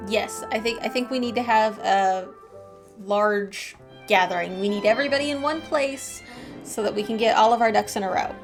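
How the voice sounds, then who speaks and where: A woman's voice: mid-range voice, moderate pace. A woman giving casual, sympathetic commentary as she plays through a game. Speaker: game streamer. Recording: game music behind the voice.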